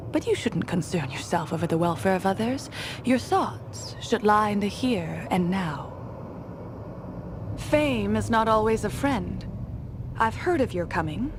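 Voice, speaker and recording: A woman's voice: a little high, background noise. Haughty, sinister female mad scientist. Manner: theatrical, menacing, condescending. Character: haughty, sadistic female mad scientist villain who creates monsters. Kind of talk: dramatic video game villain acting.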